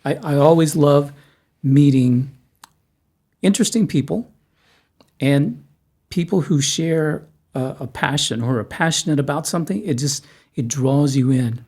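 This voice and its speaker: A middle-aged man from South Texas, hosting a casual conversation, halting and repeating words, with a joking manner. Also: a little high, mellow, breathy edge. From South Texas, USA. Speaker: host.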